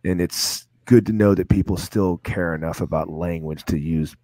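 A man with a fairly low voice talking casually as a podcast host. He stalls on repeated words, then drives his points home firmly. The sound is close and dry. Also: steady tone, moderate pace, mellow, dry recording. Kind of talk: casual conversation.

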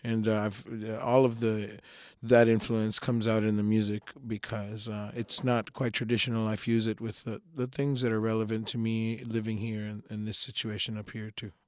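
A man's voice: lowish voice, flat delivery, normal speed, darkish tone, no echo. A Colombian-raised man, a musician guest in a radio studio, talking loosely with many fillers and restarts, his English a mix of American accents. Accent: mixed American English. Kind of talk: radio interview.

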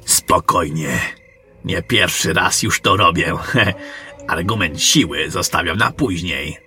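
A man playing a seasoned, wry general and monster hunter in a fantasy audio drama, measured and commanding. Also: sits low, sharp tone, soft background music, full character voice. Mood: cautious, measured.

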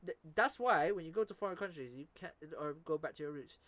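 A man's voice: fairly high pitch, expressive, fast talker, a bit bright. A young man from the Philippines in casual chat, slangy, swearing often and repeating words.

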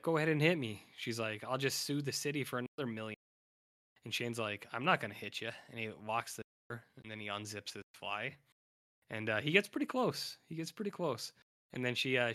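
Raspy man, hoarse, bright tone, rambling podcast co-host, casual chat. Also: medium pitch. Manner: rambling with many fillers and restarts. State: hoarse, losing his voice. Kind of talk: casual chat recapping a TV show.

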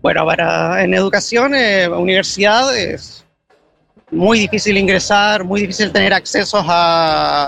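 A man's voice: high-pitched, creaky, bright, forceful tone. A man from Argentina who lived for years in Chile, giving casual, hesitant interview answers as he searches for words. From born in Argentina, lived many years in Chile. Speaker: passer-by interviewee, photographer. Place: street outdoors.

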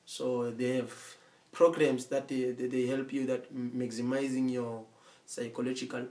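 Man, halting and earnest. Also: mid-range voice, flat delivery, darkish tone. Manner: halting, repetitive, checks in with "you understand?". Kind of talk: casual conversation.

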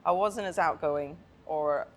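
Laughing storyteller woman, low voice. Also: unhurried, slight background noise. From Hong Kong. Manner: laughs often while telling stories. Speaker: podcast guest. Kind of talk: casual chat.

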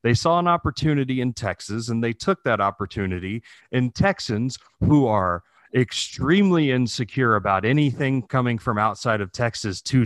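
A man with an American accent chatting casually as a podcast co-host, animated, opinionated and freely swearing. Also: mid-range voice, no echo. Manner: animated, profane, opinionated ranting. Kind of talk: casual chat.

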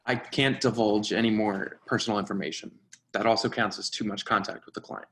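Straitlaced, earnest company man, played by an actor, low voice, hesitant and apologetic, screenplay table read. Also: steady tone, a bit bright, close to an everyday voice. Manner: halting, stammering, apologetic.

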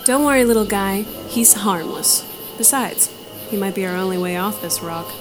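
A woman's voice: mid-range voice, moderate pace, close to an everyday voice. A woman playing a tough, sardonic space adventurer in an audio drama, with a wry, confident delivery. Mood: reassuring. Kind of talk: audio drama character acting. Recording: music playing behind the voice.

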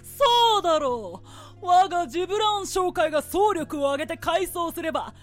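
A woman's voice: very high-pitched, animated, normal speed. A woman playing a pompous, shrewd great merchant, male, with curt commanding delivery in a theatrical radio drama. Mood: haughty. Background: music.

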